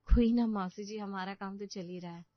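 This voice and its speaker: A woman's voice: mid-range voice, steady tone, normal speed, dry recording, muffled recording, close to an everyday voice. A woman playing a patient, polite young daughter-in-law and mother in a cartoon story. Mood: reassuring.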